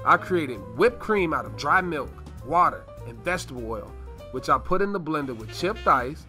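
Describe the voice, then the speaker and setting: A man's voice: fairly high pitch, animated, normal speed, pushed and bright. A man playing a breezy, boastful young father in a holiday radio play, with a jovial, showy way of talking. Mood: breezy, proud. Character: breezy, boastful young dad hosting Christmas Eve. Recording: music behind the voice.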